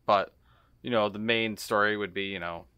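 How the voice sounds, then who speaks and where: A man with a fairly low, bright voice talks casually as a podcast co-host. His delivery is halting as he pieces thoughts together, and the sound is close and dry. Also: fairly even intonation, clear, resonant tone, no room sound, highs cut off. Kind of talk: casual chat.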